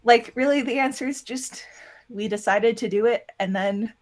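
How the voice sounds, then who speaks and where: A woman's voice: fairly high pitch, normal speed, darkish tone. A woman chatting casually as an interview guest about creative production work, candid and informal, with "like" sprinkled through. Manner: candid, informal, heavy use of "like". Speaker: interview guest, audio drama producer and voice actor.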